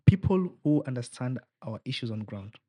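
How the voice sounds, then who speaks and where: A man's voice: medium pitch, animated, normal speed, mellow, no echo. A young man with a Kenyan accent, speaking as a political hopeful in an interview, earnest and rallying, slipping in Swahili phrases. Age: young adult. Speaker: political aspirant guest.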